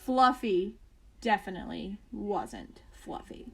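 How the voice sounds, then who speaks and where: A woman reading a children's picture book aloud as a bedtime story, with expressive emphasis and casual asides. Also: medium pitch, slow pace, creaky, slightly rough.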